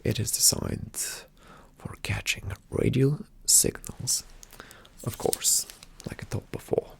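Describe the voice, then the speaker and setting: A man performing a whispered ASMR roleplay as a calm spy agent, giving hushed, deliberate instructions in a soft, breathy, slightly creaky voice. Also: mid-range voice. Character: calm, attentive male spy agent briefing a partner.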